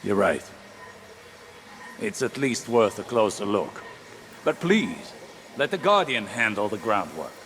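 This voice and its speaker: An actor playing a wise, stern old warlock scholar in a video game, speaking in a measured, formal, grave way. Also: male voice, on the low side, very animated, a bit bright. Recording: echoey game audio with faint noise.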